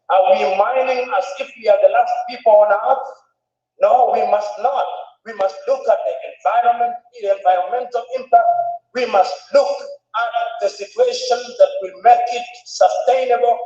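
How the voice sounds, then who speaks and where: A man's voice: high-pitched, dark tone. A man giving a formal speech at a conference, emphatic and oratorical, repeating phrases for stress. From Tanzania. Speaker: conference speaker. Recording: echoey hall, distant dull mic.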